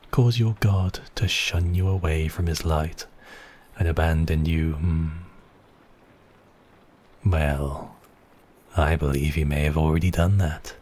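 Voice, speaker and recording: A man playing a seductive, possessive vampire count in an ASMR roleplay, speaking slowly and teasingly in a husky, breathy voice with whispered phrases. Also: low-pitched, darkish tone, a little hiss or hum. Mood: possessive, coaxing. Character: seductive, possessive male vampire count toying with his captive.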